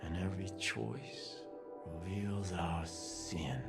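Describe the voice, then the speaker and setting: An actor playing a righteous doomsday cult prophet in a video game, preaching in a solemn, deliberate, fervent way. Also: male voice, low voice, echoey room, loud music behind the voice. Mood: grave menace. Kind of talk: dramatic sermon-like video game acting.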